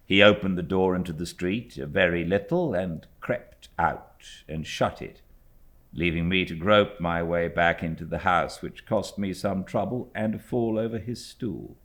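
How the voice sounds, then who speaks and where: Deep-voiced British male storyteller. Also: a bit bright. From Britain. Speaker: audiobook narrator. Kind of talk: literary scripted narration.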